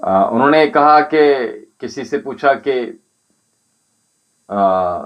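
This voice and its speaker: A man hosts a live spiritual session and answers viewers one by one, addressing each by name. He talks in a measured, instructive way at an ordinary middle pitch. The stream audio sounds dull and lo-fi. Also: animated. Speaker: spiritual teacher and Ayurvedic healer. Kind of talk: livestream answering viewer comments. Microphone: livestream setup.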